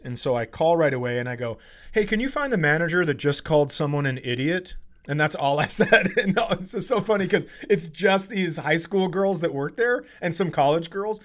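A man hosting a comedy podcast, telling casual, profane stories in an animated way and acting out the quotes. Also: a little high. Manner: animated, profane, acts out quotes in his anecdotes.

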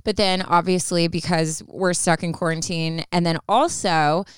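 A bubbly woman co-hosting a podcast, chatting casually with plenty of "like" along the way. Her voice is smooth and clear at a middle pitch. The sound is dry and close, with no room around it. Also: moderate pace, no echo. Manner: bubbly, animated, many "like" fillers. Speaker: podcast co-host. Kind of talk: casual chat.

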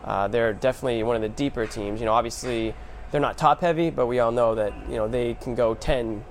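A man hosting a sports show, recapping basketball in a casual, animated storytelling way with emphatic short phrases. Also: mid-range voice, a little fast, slight background noise.

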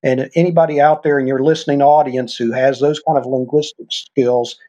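A man's voice: mid-range voice, steady tone, a little fast, mellow, highs cut off. An older man, a guest on an interview show, earnestly explaining things through examples and stories. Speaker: guest, former intelligence officer. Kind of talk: conversational storytelling interview.

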